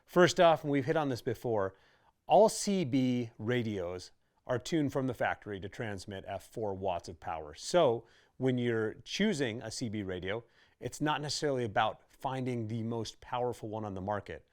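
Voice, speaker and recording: A man's voice: medium pitch, expressive, normal speed. A man hosting an informal how-to video, explaining products in a conversational, instructional way. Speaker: host of a radio gear how-to video.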